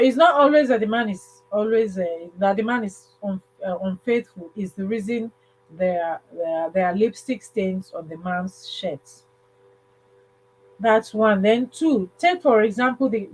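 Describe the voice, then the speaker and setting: A woman's voice: medium pitch, poor audio. A woman hosting a casual livestream advice talk, speaking emphatically and repeating phrases for stress. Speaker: livestream host.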